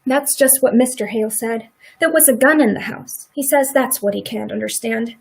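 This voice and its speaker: A woman in a radio play acting a middle-aged farm wife who is sympathetic, quietly indignant and plain-spoken in a folksy rural way. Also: a little high, normal speed, vocal fry, husky.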